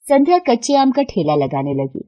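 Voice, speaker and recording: A woman's voice: fairly high pitch, very animated, normal speed, dark tone, lo-fi sound. A woman reading scripted narration for a children's moral story as the storyteller.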